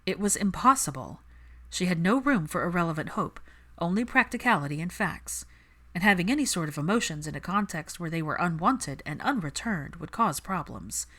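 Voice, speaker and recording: Expressive, lower-pitched female narrator. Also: moderate pace. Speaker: audiobook narrator. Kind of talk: expressive scripted story narration.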